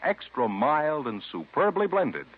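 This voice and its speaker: An American man delivering an emphatic, salesmanlike advertising pitch on 1950s radio. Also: very animated, a little slow, slightly rough. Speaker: commercial pitchman. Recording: thin, tinny old radio recording.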